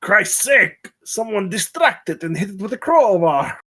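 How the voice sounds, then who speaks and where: Man reading a higher-pitched, nervous space scientist. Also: very animated, unhurried, pushed and bright, full character voice. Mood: urgent. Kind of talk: screenplay table read acting.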